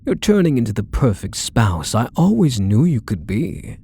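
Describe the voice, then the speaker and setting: A possessive yandere husband, acted by a man, murmurs in an intimate ASMR roleplay with doting, quietly menacing condescension. His voice is mellow and creaky, with pitch that rises and dips widely. He coaxes softly and lets his endearments linger. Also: sing-song, normal speed, exaggerated voice. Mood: tender, possessive. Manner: soft, coaxing, condescending endearments, lingering phrases.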